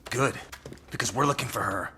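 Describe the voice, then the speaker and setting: An actor playing a terse, stern special forces soldier in a video game cutscene. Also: male voice, mid-range voice, brisk, background noise, lightly acted.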